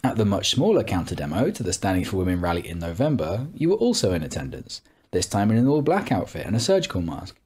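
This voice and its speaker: A man's voice: low-pitched, sing-song, quick pace, few pauses, darkish tone, slightly rough. A man reading a scripted narration in a pointed, sarcastic, accusatory manner. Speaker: narrator of a call-out video.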